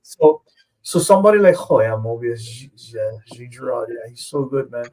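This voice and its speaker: A man's voice: mid-range voice, animated, normal speed, warm tone, rough recording. A man co-hosting a casual art livestream, rambling and leaning on "you know what I mean". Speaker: co-host and comic artist.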